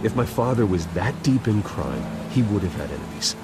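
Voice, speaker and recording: A man's voice: on the low side, big pitch swings, normal speed, over music. An actor playing a troubled young billionaire man, speaking in an intense, clipped, brooding way. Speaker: billionaire heir. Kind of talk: video game cutscene acting. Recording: game audio with rain ambience. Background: rain and ambience.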